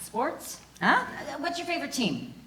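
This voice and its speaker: A woman's voice: high voice, huge pitch swings, unhurried, sharp tone, full character voice. An actress reading an upbeat, persistent policewoman at a live table read. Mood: chirpy. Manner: chirpy, persistent. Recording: echoey hall from a distance with noise.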